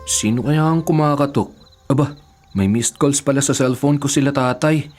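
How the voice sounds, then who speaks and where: A man's voice: medium pitch, normal speed, over loud music, close to an everyday voice. A man playing a young adult nephew in a Filipino radio drama, politely deferential but privately exasperated. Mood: puzzled. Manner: deferential aloud, sardonic in asides.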